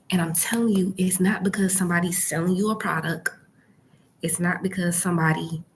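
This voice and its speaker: Female branding coach, low hushed semi-whisper, unhurried and emphatic, light rumble behind. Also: rough recording. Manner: emphatic, rhetorical questions and frequent check-ins. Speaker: YouTube branding and e-commerce coach. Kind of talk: informal coaching talk to camera. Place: library.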